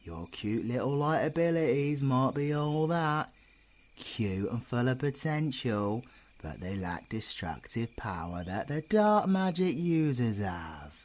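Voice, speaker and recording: Male actor as cocky British supervillain for audio roleplay: gruff, sardonic, husky, unhurried, teasing the listener up close. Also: medium pitch, big pitch swings, darkish tone. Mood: brusque, instructing. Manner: gruff, sardonic, swearing banter.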